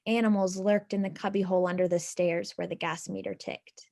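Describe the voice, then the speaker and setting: Lady reading a nostalgic story aloud, medium pitch, measured and expressive. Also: gravelly. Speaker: reader in a readers theatre.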